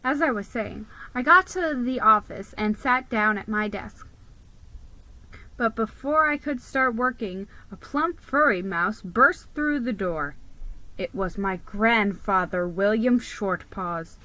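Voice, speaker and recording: A woman giving an expressive, deliberate dramatic reading of a children's story, narrating in the first person as a mouse storyteller. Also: mid-range voice, poor audio.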